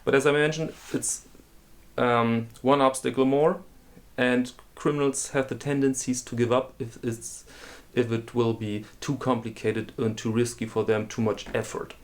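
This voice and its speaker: A man with a German accent, hosting a talk video and explaining a scam in a halting way, with many restarts and ums. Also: medium pitch, little pitch movement. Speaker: host. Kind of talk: casual explainer monologue.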